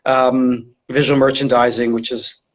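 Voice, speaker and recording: A man's voice: medium pitch, level tone, measured pace. A middle-aged man speaking as an interview guest, explaining his field in an informal, conversational way and often repeating words as he thinks. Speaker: guest, retail design and visual merchandising specialist.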